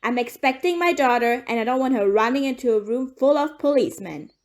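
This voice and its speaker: A woman's voice: sits high, animated, fairly quick. A woman playing a crusty, irritable, defiant mother, blunt and dismissive, in an audio play. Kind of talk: amateur audio play acting.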